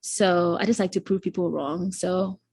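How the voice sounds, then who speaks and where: A woman in her twenties with a Ghanaian accent, talking as a guest in a relaxed, reflective way with frequent ums. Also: lowish voice, normal speed, husky, no room sound. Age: 20s. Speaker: guest, incoming medical student. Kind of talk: casual interview chat.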